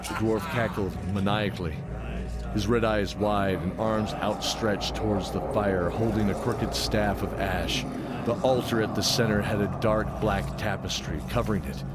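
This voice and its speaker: A man's voice: fairly low pitch, fairly even intonation, a little slow, very noisy. A man narrating a scripted fantasy audio drama as a dramatic storyteller. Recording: loud music under the voice. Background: loud music.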